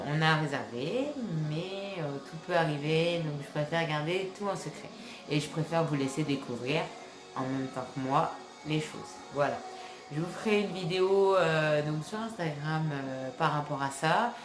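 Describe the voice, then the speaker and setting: Low-voiced woman with a head cold. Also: reverberant. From France. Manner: rambling, many fillers and restarts. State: congested with a cold. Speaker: vlogger. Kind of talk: casual vlog talk to camera. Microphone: phone camera.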